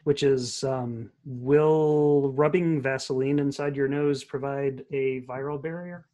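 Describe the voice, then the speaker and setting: A man organizing an online meetup, speaking in an even, businesslike way and relaying questions. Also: medium pitch, slow. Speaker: event organizer. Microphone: video call.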